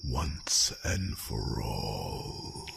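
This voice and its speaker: A man voicing an ancient, courteous, proud dragon in a fantasy ASMR roleplay, speaking formally in a gravelly, breathy near-whisper with rumbling hums. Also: very animated, heavily acted. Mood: courteous, solemn. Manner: slow, formal, drawn-out phrasing with rumbling hums. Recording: noisy track with loud nature ambience.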